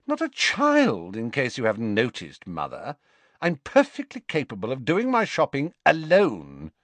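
A man's voice: medium pitch, wildly swooping pitch, unhurried, fairly bright tone, no room sound, pitched above the performer's own voice, full character voice. A man voicing an arrogant, sneering teenage boy. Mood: petulant. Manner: sneering and contemptuous.

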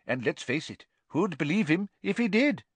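A pompous middle-aged official, irritable and flustered, voiced by a male narrator lifted well above his usual pitch. He sounds self-important and put upon. Close, dry sound. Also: very animated, normal speed, breathy edge, no room sound.

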